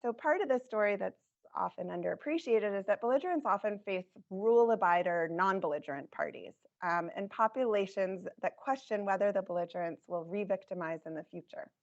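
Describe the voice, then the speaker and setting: A woman presenting her research in a measured academic talk, her voice scratchy, with frequent ums. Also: medium pitch. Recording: dull lo-fi recording.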